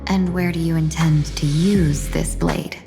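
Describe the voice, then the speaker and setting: An actress voicing a shrewd, composed young female envoy in a video game, measured, wry and coolly polite. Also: deep voice, steady tone, vocal fry, dark tone, faint music behind. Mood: cool, probing.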